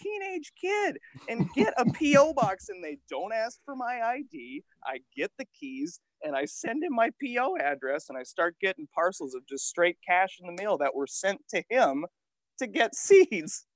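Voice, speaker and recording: A man around thirty, a podcast guest telling stories in an animated, casual way with the odd swear. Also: high voice, normal speed, no room sound, low-quality recording. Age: 30s. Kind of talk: casual interview storytelling.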